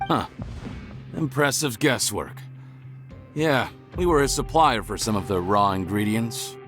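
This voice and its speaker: A man's voice: mid-range voice, a little slow, a bit bright, natural voice. A man playing a smug, cunning crime boss in a video game, with a mocking, theatrical delivery. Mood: intrigued, calculating. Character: gloating, cunning male villain crime boss with ruined face. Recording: music under the voice.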